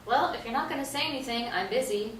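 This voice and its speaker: A woman acting high-voiced, sardonic, irritable playwright. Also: fast talker, fairly bright tone, natural voice. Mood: curt. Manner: sardonic, dismissive. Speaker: actor in a staged play reading. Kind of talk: live stage play reading. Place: echoey indoor stage or hall. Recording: echoey room, distant mic, faint noise.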